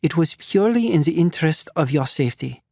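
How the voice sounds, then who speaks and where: A man's voice: fairly high pitch, animated, fairly quick, gravelly, dark tone, no room sound, studio quality, pitched above the performer's own voice. A man voicing a refined woman doctor who speaks with a slight French accent, polite and soothing. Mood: smooth reassurance.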